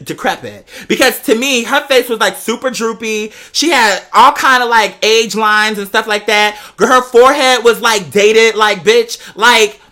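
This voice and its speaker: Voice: medium pitch, pushed and bright. A young adult with an American accent telling gossipy stories to followers in a sassy, slangy, emphatic way, repeating phrases for effect. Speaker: vlogger addressing patrons. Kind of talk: casual gossipy storytelling.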